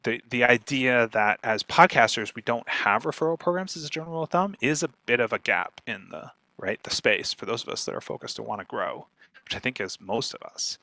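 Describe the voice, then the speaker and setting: A man speaking conversationally as a guest expert, animated and often checking in with "right?". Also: medium pitch, brisk, dry recording. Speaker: guest speaker, podcaster and marketer. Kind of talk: conversational talk on podcast marketing.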